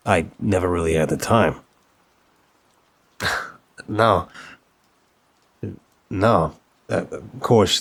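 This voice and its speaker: A man playing an affectionate, apologetic young mafia boss boyfriend, speaking earnestly and politely with hesitant stammers in an intimate roleplay audio drama. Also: low-pitched.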